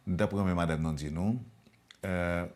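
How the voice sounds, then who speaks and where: A man's voice: deep voice, steady tone, a little slow, darkish tone. A man with a Haitian accent hosting a broadcast interview in a courteous, formal manner. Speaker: television interview host.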